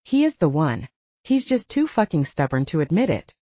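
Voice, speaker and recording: A female narrator plays a heartbroken young werewolf woman in a romance audiobook. She is stubborn and bitter, with lively swings of pitch in a softened, slightly dull recording. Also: moderate pace, no room sound. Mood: hurt, bitter. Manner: foul-mouthed, bitter. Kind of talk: audiobook romance character acting.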